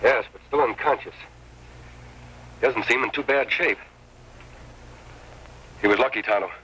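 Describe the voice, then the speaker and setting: A man's voice: mid-range voice, a little slow, sharp tone, low-quality recording. An actor playing a masked lawman hero in an old Western radio drama, with an American accent and an earnest, authoritative delivery. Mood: thoughtful, decisive. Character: masked lawman hero, steady, commanding, upright. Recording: old radio recording, faint noise.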